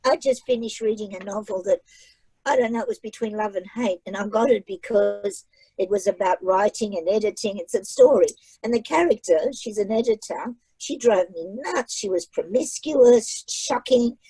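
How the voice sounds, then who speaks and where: Chatty emphatic woman author, mellow dry voice. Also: medium pitch, moderate pace, slightly rough, no room sound, poor audio. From migrated to Australia at age nine. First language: not English. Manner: chatty, emphatic, repeats phrases for effect. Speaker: author and guest speaker. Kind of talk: casual online library talk.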